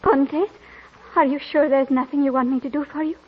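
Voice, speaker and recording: A woman's voice: high-pitched, fairly even intonation, a little fast, close to an everyday voice. A woman playing an anxious, earnest young companion to a countess in a 1940s radio drama. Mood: dutiful, caring. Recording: dull old radio recording.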